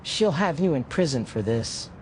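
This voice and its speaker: A man voicing an entitled, defensive young male rioter in an animated series, curt and indignant. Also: normal speed, close to an everyday voice, rough recording. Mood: indignant fear. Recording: clear low rumbling background noise.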